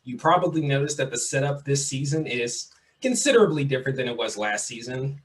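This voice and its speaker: A young man from Mississippi hosting a podcast, talking in a relaxed, chatty way and repeating his phrases. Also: mid-range voice, a little fast. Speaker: podcast host. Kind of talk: casual interview.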